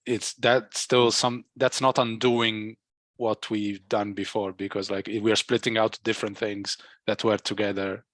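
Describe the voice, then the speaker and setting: A man in a casual technical work discussion, thinking aloud haltingly and often repeating words as he reasons. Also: lowish voice, little pitch movement, a bit bright, dry recording. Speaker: software engineer in a team meeting. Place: work call, close dry sound.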